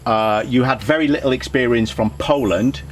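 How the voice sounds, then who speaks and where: A man with a Yorkshire accent hosts an interview at a mid-range pitch. He asks friendly questions and keeps answering with a short "right". Faint background noise is heard. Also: normal speed. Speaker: podcast host. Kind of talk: casual interview.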